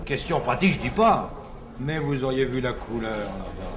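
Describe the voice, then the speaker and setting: A man's voice: medium pitch, animated, moderate pace, a crowd in the background, natural voice. An actor playing a jovial middle-aged self-made produce wholesaler and doting father in a French radio drama, colloquial and chuckling. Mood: proud, nostalgic.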